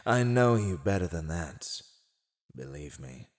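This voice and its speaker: Smug evil villain for asmr rp, slow teasing drawl, super animated, mocking and gloating. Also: male voice, low-pitched. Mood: menacing, taunting. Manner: teasing, condescending, theatrical drawl. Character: silver-tongued male fantasy villain, gloating, mocking captor. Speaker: voice actor. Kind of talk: ASMR roleplay, scripted villain monologue.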